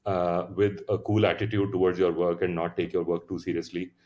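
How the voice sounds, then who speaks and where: Low-voiced Indian man, reflective and halting. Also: little pitch movement, a little mellow, lo-fi sound. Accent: Indian English. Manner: reflective, many fillers and restarts. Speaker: founder, podcast guest. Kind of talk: casual interview storytelling.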